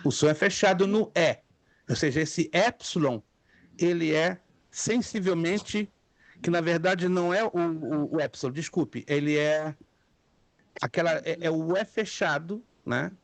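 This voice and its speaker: A man's voice: fairly high pitch, unhurried. A middle-aged man, a native speaker of Spanish and Hebrew, teaching a class and patiently repeating words for students to echo. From Brazil. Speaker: theology teacher. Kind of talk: online class lecture.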